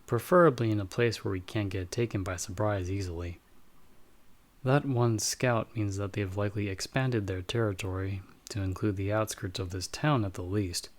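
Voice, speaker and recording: Low-voiced, measured bounty hunter played by a man. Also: close to an everyday voice. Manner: measured, explanatory, slightly formal. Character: calm, watchful male bounty hunter with magical wards. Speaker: audio roleplay performer. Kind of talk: ASMR audio roleplay acting, speaking to the listener as companion.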